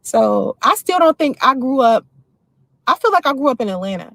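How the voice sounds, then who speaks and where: A woman in her forties from the D.C. area talks straight to her livestream viewers and answers their comments without holding back. Her voice sits a little high. The sound is dry and close to the mic. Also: big pitch swings, normal speed, creaky, fairly bright tone, no echo. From Washington, D.C. area. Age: 40s. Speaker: livestream host. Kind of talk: casual livestream chat.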